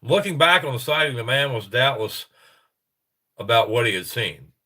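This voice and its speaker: A man's voice: medium pitch, lively intonation, normal speed, pushed and bright. A middle-aged man with a stuffy, congested voice, hosting a casual livestream and reading reports aloud with rambling, chuckling asides. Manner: rambling, chuckling, self-interrupting. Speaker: livestream host.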